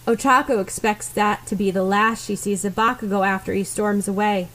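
A woman's voice: mid-range voice, fairly even intonation, normal speed, fairly bright tone, rough recording. A woman reading fan fiction aloud as scripted story narration. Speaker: fan fiction podfic reader.

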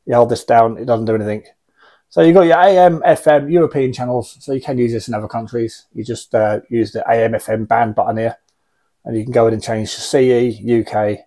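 A man's voice: medium pitch, brisk, mellow. A British man in his forties giving a casual, unscripted gear review in a wry, blunt, rambling way, recorded in a small room. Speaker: radio hobbyist reviewing gear. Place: small indoor radio room.

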